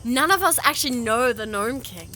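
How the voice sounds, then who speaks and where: High, bright, stubborn young princess. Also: female voice, animated, normal speed, slight background noise. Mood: stubborn, reassuring. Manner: earnest, insistent. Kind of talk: full-cast fantasy audio drama acting.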